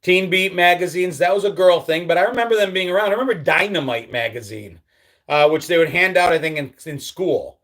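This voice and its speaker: A man's voice: high voice, animated, brisk, a bit bright. Easygoing nostalgic man hosting a livestream. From upstate New York. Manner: reads viewer comments aloud and answers them; nostalgic, easygoing, with frequent ums and trailing drops. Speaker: livestream host. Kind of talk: casual live-chat reminiscing.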